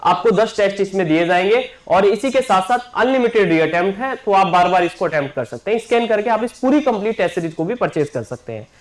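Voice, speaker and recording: A man's voice: high voice, animated, quick pace, hardly any pauses. A man speaks as an exam coaching teacher addressing his students. He repeats key points and keeps checking in with his listeners. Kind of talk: promotional class announcement.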